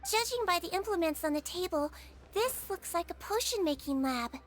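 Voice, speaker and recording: A woman voicing a small, polite, sweet head nurse in a video game, speaking in a measured, observant and gently courteous way. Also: very high voice, lively intonation, soft background music. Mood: calm, observant. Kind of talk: scripted video game voice acting.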